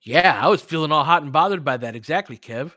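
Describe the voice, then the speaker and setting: A man's voice: on the high side, lively intonation, fast, bright, forceful tone, no echo, clean studio sound. A man hosting a live sports stream, chatting casually and informally, thinking aloud as he gives his commentary. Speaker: sports podcast host. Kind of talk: casual live basketball commentary, replying to chat.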